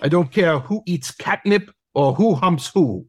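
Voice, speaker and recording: Audio play: imperious German Shepherd teacher, played by a man, pompous and bossy, highish pitch, slow theatrical delivery. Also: lively intonation, heavy background noise. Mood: irritated, commanding. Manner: imperious, declamatory. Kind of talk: theatrical audio drama acting.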